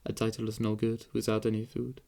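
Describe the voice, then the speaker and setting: A man's voice: on the low side, completely flat delivery, fairly quick, gravelly, mellow. A man voicing a disagreeable, impoverished old princess in an audiobook, lamenting in a theatrical sing-song. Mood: self-pitying. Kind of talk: audiobook character voice.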